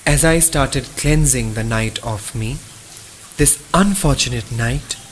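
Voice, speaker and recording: A man's voice: mid-range voice, slight background noise, natural voice, low-quality recording. An actor playing a shaken married male traveler who narrates a horror radio play in a theatrical, literary manner. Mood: brooding. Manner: theatrical, literary, deliberate phrasing.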